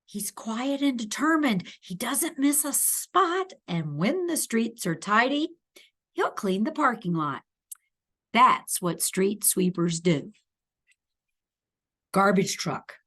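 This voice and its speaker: A woman reading a children's picture book aloud expressively, with deliberate emphasis and playful little asides to the young listener. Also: on the high side, sing-song, fairly bright tone.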